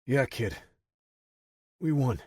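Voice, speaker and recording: A man's voice: medium pitch, very animated, takes it slowly, darkish tone, husky, full character voice. Guy doing a tough agent dude, clipped and dry-humored, no room echo. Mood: wry. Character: grizzled male field agent, dry humor.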